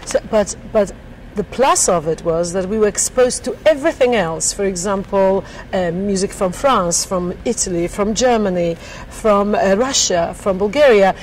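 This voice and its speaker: A woman's voice: medium pitch, normal speed, a little mellow, a little hiss or hum, low-quality recording. A middle-aged woman from Poland with a Polish accent, chatting about her music in an interview and laughing often. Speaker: singer interviewed. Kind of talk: casual interview chat. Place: backstage at a theater.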